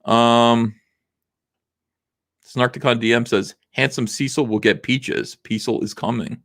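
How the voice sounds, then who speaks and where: A man in his forties hosting a casual livestream, reading viewer messages aloud, rambling with lots of fillers while his voice is going rough. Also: medium pitch, fairly even intonation, a little slow, fairly bright tone. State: losing his voice. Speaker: livestream host. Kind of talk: casual livestream chat reading super chats.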